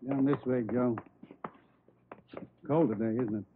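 Man playing a morgue worker, routine small talk, vintage radio crime show, phone-like sound, clear background noise. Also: medium pitch, fairly even intonation, measured pace, natural voice. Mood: casual, routine. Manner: matter-of-fact small talk. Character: chatty, mundane male morgue attendant. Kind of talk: 1950s radio crime drama acting. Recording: tinny, noisy old radio recording.

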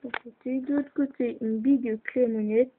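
A woman's voice: fairly high pitch, steady tone, moderate pace. A woman chatting casually with lots of fillers as she shows off a toy model at home, filmed on a handheld camera held close. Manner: chatty, hesitant, many fillers. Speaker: toy builder showing a model. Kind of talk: casual show-and-tell narration. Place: indoors at home. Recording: tinny lo-fi camera audio, hissy.

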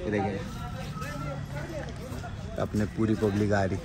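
A man's voice: fairly low pitch, completely flat delivery, slow pace, loud music behind the voice. A man talking casually to viewers as a travel vlogger, mixing in English phrases. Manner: informal Hindi mixed with English phrases. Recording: noisy outdoor recording with vehicle sounds.

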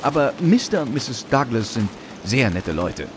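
Flustered village police sergeant, very animated. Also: male voice, medium pitch, a little slow, background noise. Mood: eager. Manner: hesitant, stammering politeness. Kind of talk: German radio drama.

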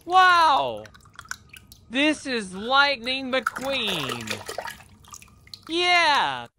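A woman presenting toys for children in short, exclamatory, drawn-out announcements. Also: high-pitched, very animated, slight background noise. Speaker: toy video presenter. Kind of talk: exclamatory toy showcase for children. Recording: loud music over the voice.

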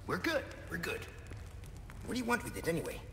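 A suave Spanish rogue from a video game, teasing and theatrical. His fairly high voice moves expressively. Loud ambience surrounds him. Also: huge pitch swings, a little fast. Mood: breezy. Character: suave, flirtatious, cheeky Spanish male charmer in his thirties. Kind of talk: cinematic video game acting. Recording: echoey stone hall, noisy game ambience.

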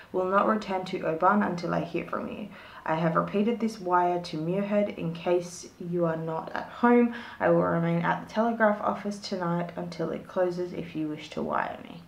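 A woman's voice: on the low side, creaky. A young woman telling a true crime story to the camera in a casual, rambling way, full of fillers, reading parts aloud. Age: young adult. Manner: chatty, rambling, many fillers and likes. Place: small home room with shelves of collectibles. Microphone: fixed camera at desk. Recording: echoey room.

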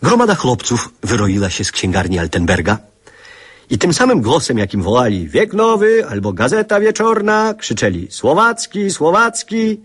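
An actor playing an older writer who narrates memories of his youth in a lyrical radio drama, with a husky voice. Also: medium pitch, huge pitch swings, normal speed. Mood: nostalgic, vivid. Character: older male writer recalling his youth, nostalgic and reflective.

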